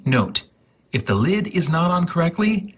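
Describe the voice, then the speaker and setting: A man giving a deliberate, dramatic reading of step-by-step instructions with exaggerated enunciation, in a husky, breathy voice. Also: fairly high pitch, big pitch swings, background noise. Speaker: host.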